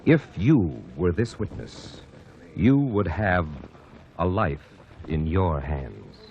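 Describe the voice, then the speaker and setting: A man's voice: sits low, sing-song, slow pace, vocal fry, a little mellow, slight background noise. A man announcing and narrating a 1949 radio drama in a solemn, emphatic style.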